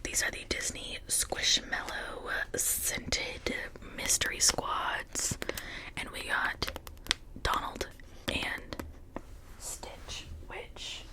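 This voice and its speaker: A woman speaking in a breathy whisper, rambling informally in a chatty ASMR style. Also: extremely slow, rough recording. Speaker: ASMR creator showing and unboxing toys.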